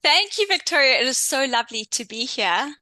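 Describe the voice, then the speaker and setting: A woman in her late thirties with a South African accent speaks as a podcast guest. Her voice is crisp and bright, and the sound is close and dry with no room around it. She talks in a chatty way and often breaks off and restarts a sentence. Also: sits high, big pitch swings, a little fast, dry recording. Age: 30s. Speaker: podcast guest, intuition coach. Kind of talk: casual interview chat.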